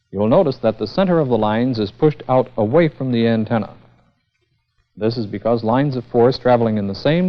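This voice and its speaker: A man giving a formal, methodical scripted technical lecture as the instructor in a vintage educational film. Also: mid-range voice. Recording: dull, band-limited vintage 16mm film sound.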